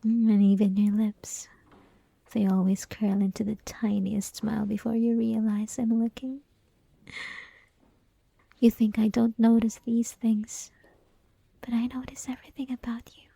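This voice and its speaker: A woman's voice: on the high side, little pitch movement, measured pace, long pauses, mellow, no echo, heavily acted. A woman playing a flirty, possessive college classmate who teases the listener in an intimate, mostly whispered ASMR roleplay. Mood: tender teasing. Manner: teasing, breathy, mostly whispered, giggly.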